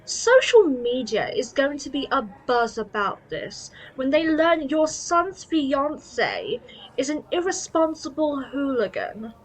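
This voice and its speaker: A woman's voice: sits high, big pitch swings, takes it slowly, full character voice, rough recording. A woman playing a haughty, snobbish society woman, indignant and disapproving, in an audio drama. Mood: indignant, scornful.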